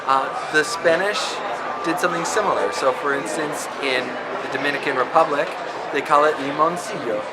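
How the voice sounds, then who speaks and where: A man's voice: a little high, moderate pace, very noisy. A man giving a conversational introduction to linguistics, often checking in with the listener by asking "right?". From not from Barbados. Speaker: linguistics lecturer. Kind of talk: explanatory talk to camera. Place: public space in Barbados.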